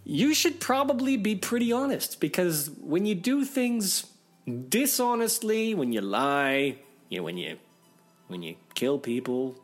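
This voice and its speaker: A man reads a fairy tale for children in an animated way, with dramatic pauses and playful asides. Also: fairly high pitch. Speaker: story reader. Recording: music behind the voice.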